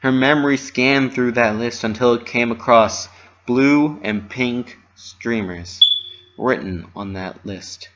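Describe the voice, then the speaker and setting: Casting: dramatic story narrator, expressive and theatrical, lo-fi home-recorded sound. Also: medium pitch. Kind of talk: dramatic reading of fan fiction.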